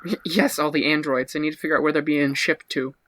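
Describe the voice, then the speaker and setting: A man's voice: a little high, steady tone, quick pace, a bit bright, no echo, natural voice. A man improvising an exasperated police detective questioning a witness in a comedy scene, stammering, incredulous and impatient.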